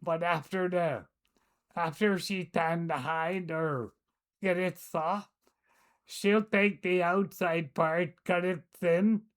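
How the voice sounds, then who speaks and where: An older woman, recalling the past in a casual, hesitant way, often pausing to search for words. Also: lowish voice, steady tone. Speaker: storyteller. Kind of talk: casual recollection.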